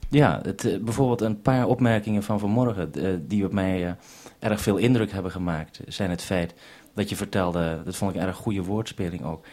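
Male radio maker, lowish husky voice, halting but reassuring delivery in two-way radio exchanges. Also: steady tone, a little mellow. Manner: hesitant, reassuring. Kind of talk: two-way radio conversation for broadcast. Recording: 1971 radio broadcast recording.

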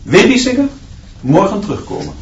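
A man's voice: high-pitched, wildly swooping pitch, fairly quick, recorded from a distance. A man playing a strict, unbending schoolteacher in a radio drama, giving curt commands. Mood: stern, commanding. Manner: barking curt commands and punishments. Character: strict new male schoolteacher, hard and unbending. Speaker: actor in a radio play. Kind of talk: Dutch radio drama acting. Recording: dull, noisy, echoey classroom sound from off.

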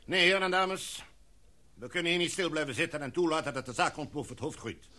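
A man's voice: a little high, fast, pushed and bright. An actor playing a commanding, decisive male expedition leader in a radio play, addressing a meeting in a formal, speech-like way. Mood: measured.

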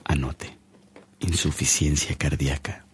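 An actor playing a matter-of-fact doctor in a radio drama, with a businesslike clinical manner. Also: male voice, very deep voice, steady tone, a little slow, husky, heavily acted. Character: male doctor attending a sick bishop, matter-of-fact.

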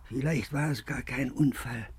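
An actor playing a troubled, brooding ordinary man in a radio play, confiding in a husky, breathy voice. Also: medium pitch, normal speed. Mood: troubled, suspicious. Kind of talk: 1950s German radio play acting.

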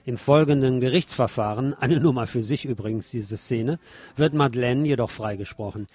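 A man's voice: mid-range voice, normal speed, darkish tone, slightly rough, no room sound. A man hosting a radio show, reading a film review from a script with chatty asides to his listeners.

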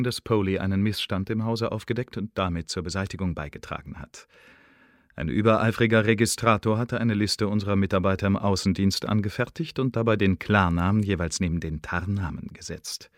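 An actor playing a fussy secret service secretary, delivering a formal report in a measured, circumlocutory way in a radio play. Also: male voice, lowish voice, no echo, studio quality, close to an everyday voice. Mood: formal, pedantic. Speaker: intelligence official giving an assessment. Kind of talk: radio drama monologue, formal bureaucratic report. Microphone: close dry studio microphone.

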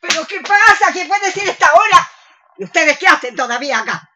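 Scolding older Argentine lady played by an actress, radio comedy: high, sharp, indignant, on a noisy recording. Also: expressive, brisk. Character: scolding, suspicious older woman roused from bed. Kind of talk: comic radio play acting.

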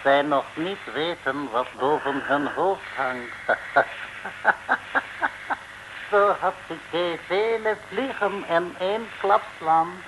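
An actor playing a pompous criminal mastermind, declaiming grandiose orders in an old radio serial. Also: male voice, on the high side, slow. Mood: gloating. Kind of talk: early-1960s radio serial villain acting. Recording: hissy old gramophone-style radio recording.

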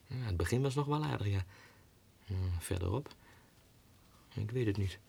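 A man's voice: on the low side, lively intonation, moderate pace, gravelly, a little mellow, slight background noise. An actor playing a lovesick, scornful madman who mutters and exclaims theatrically to himself in a 1960s radio drama. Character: lovesick madman, agitated and scornful, reading letters aloud.

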